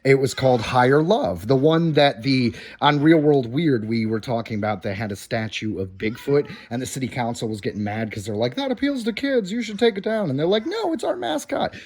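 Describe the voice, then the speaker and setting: A man's voice: mid-range voice, very animated, brisk, few pauses, a little mellow. A man hosting a podcast in a hotel room, chatting informally to listeners and running through updates in a casual way. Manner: informal, addressing listeners directly as guys. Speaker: podcast host. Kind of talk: casual solo podcast chat with news updates. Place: hotel room while on vacation.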